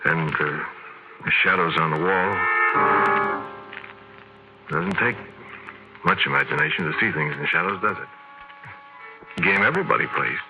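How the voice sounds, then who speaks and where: A haunted narrator in an old radio horror drama. He confides in a low, edgy, conversational voice over prominent music. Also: big pitch swings, unhurried, creaky, long pauses, full character voice, low-quality recording. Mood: confiding, uneasy. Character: haunted, shaken man confiding his eerie story. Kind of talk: 1940s radio drama first-person narration.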